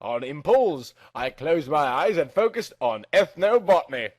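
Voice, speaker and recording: A man's voice: on the high side, very animated, unhurried, no room sound, clean studio sound. A man narrating a first-person story in a theatrical, dramatic amateur reading style.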